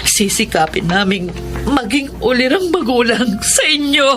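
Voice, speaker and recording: A repentant, loving mother in an emotional radio drama. Her voice is on the high side, with music playing clearly behind it. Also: very animated, measured pace. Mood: remorseful, tender. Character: repentant, loving middle-aged mother freed from vice. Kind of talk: Tagalog radio drama acting.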